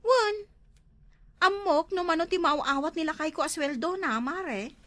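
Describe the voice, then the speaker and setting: A woman's voice: extremely high pitch, lively intonation, a little slow, fairly bright tone, no echo, exaggerated voice. A woman playing a proud, nagging village housewife in a comedy drama, speaking with an Ilocano accent. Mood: indignant.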